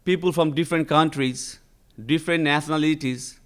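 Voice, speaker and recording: A man from Nepal giving a conference lecture in English, speaking slowly and deliberately with frequent fillers and tag questions. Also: fairly high pitch, steady tone, clean tone. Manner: slow and deliberate, frequent "uh" and "right?". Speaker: researcher giving a talk. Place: conference hall.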